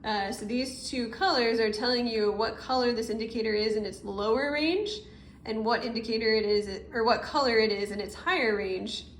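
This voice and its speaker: The roomy recording has clear background noise. A woman with a fairly high voice teaches in a casual, explanatory way. She goes step by step, as in an informal tutorial at a desk. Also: moderate pace. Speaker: chemistry teacher. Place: indoor room, at a desk over paper.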